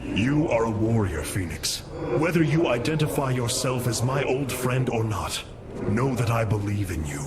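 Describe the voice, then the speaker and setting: An actor playing a noble, resolute alien warrior leader in a sci-fi game, formal and commanding. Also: male voice, medium pitch, expressive, normal speed, very noisy, natural voice. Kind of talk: dramatic sci-fi game acting. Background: loud dramatic music.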